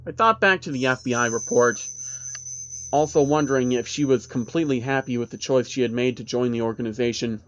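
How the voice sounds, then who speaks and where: A man's voice: medium pitch, a little fast, poor audio. A man narrating a scripted first-person horror story as its storyteller. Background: background music.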